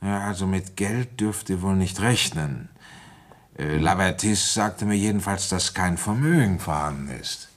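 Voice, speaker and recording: Husky, low-voiced village police commissioner. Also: male voice, animated, measured pace. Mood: weary, probing. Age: middle-aged. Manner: coaxing, paternal, blunt. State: feverish with a cold. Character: avuncular, ambitious village police commissioner in his fifties. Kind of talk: German radio drama acting and first-person narration.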